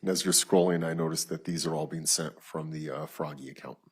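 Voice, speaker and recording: A man testifying as a detective in court, reading written messages aloud in a flat, procedural, matter-of-fact way with a husky voice. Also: very deep voice, brisk. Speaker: lead detective testifying as witness.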